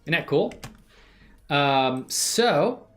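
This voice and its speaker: Female live-stream tutorial host, low-pitched voice, informal and upbeat, buddy-like with the chat, soft music underneath. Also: animated, drawn-out words. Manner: upbeat, addresses viewers as man and bro. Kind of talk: casual live tutorial chat, thinking aloud.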